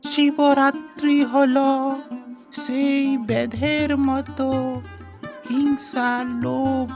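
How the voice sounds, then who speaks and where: A woman narrating a scripted cartoon story in a deliberate, moralizing storyteller's manner. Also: high-pitched, level tone, creaky, over music.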